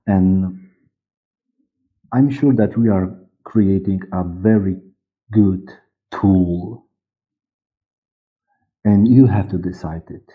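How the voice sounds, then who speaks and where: Muffled, very deep Polish-accented man. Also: slightly rough. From Poland. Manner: halting, searching for words with many repetitions. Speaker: guest, audio drama director and producer. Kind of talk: unscripted interview talk. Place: dark room, on a video call. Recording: muffled phone-like video-call audio.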